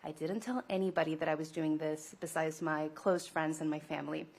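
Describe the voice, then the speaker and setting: A woman from Mexico speaks in a fairly low voice. She gives personal testimony as a small business founder, with an informal, enthusiastic way of storytelling. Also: steady tone, fairly quick, darkish tone. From Monterrey, Mexico.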